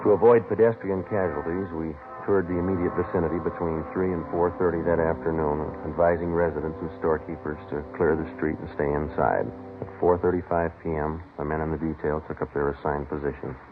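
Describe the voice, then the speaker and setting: An actor playing a terse, stoic police sergeant in a 1950s radio crime drama, speaking in a clipped deadpan. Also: male voice, extremely low pitch, brisk, hardly any pauses. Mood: flat, matter-of-fact. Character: terse, stoic male police detective sergeant narrating his case. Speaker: lead actor and narrator. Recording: muffled vintage radio recording with music behind.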